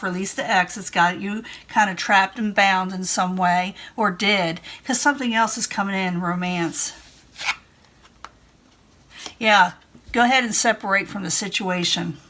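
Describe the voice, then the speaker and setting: A woman talks through a tarot reading in a chatty, reassuring way and keeps repeating her points. Her voice is strained because she is losing it, and it sits at an ordinary middle pitch for a woman. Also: pushed and bright. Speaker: tarot reader. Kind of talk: casual tarot reading to camera.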